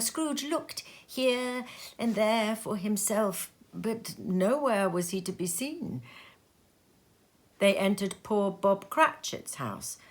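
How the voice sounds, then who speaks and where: A woman storyteller reads at a slow, measured pace. Her narration is expressive, with dramatic pauses, and her pitch is middling for a woman. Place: sitting room.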